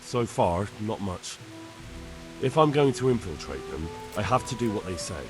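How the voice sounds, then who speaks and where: A man's voice: fairly low pitch, sing-song, normal speed, music in the background. An actor playing a young man in a lord's service in a game, plain-spoken and deferential. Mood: troubled. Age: young adult. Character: earnest young medieval man serving a lord. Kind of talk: video game cutscene acting.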